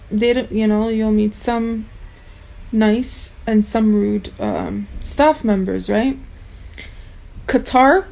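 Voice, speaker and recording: A woman's voice: medium pitch, vocal fry, warm tone, low-quality recording. A woman whose first language is Armenian, talking frankly and casually to her viewers in a vlog. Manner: chatty, frank, addresses viewers directly. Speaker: vlogger.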